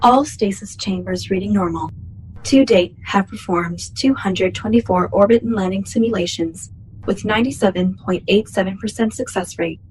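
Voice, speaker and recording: A woman's voice: medium pitch, darkish tone, a little hiss or hum, close to an everyday voice, poor audio. Woman as impassive starship computer reciting its log. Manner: formal, measured recitation. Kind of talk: scripted sci-fi radio play.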